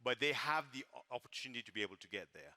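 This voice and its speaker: A man's voice: mid-range voice, expressive, very fast, bright, forceful tone. A man with a French accent co-presenting at a live business event, emphatic and playful with the crowd. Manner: emphatic, repeats words for stress, playful with the crowd.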